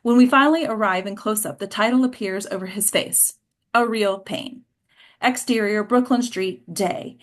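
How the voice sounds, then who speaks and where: A woman's voice: medium pitch, normal speed. A woman hosting a table read and narrating a screenplay's stage directions. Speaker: host and stage directions reader.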